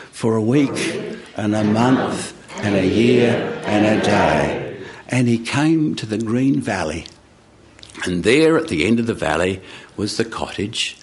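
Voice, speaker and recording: A man telling a folk tale live, in an animated storytelling manner with repetitive phrasing. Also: on the low side, noisy background. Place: radio studio with live audience.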